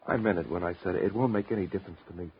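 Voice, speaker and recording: A man's voice: deep voice, rapid-fire. An actor playing an obsessive, lovesick male painter in 1950s radio drama, intense, volatile and melodramatic. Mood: tender pleading. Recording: muffled, noisy 1950s radio recording.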